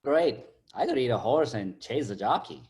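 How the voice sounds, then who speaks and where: A man's voice: mid-range voice, sing-song, moderate pace, darkish tone, close to an everyday voice. A man playing a cheeky, laid-back sidekick in a comic table read, slangy and full of wisecracks. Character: cheeky, laid-back male sidekick teasing his buddy.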